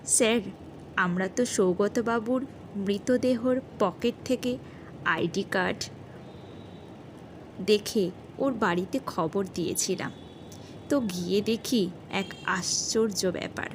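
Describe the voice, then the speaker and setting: A woman's voice: mid-range voice, takes it slowly, pauses often, a little hiss or hum, lightly acted. Woman acting a deferential, hesitant junior policeman. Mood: baffled, earnest. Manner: respectful, hesitant reporting. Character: deferential, hesitant male junior policeman reporting strange news. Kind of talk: audio horror story character voice.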